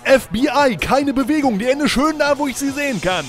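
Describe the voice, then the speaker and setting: A man's voice: very high-pitched, lively intonation, fairly quick, faint music behind. A man playing a pushy, condescending FBI agent interrogating a suspect in an audio drama. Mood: shouting orders. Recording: roomy, echoey voice recording.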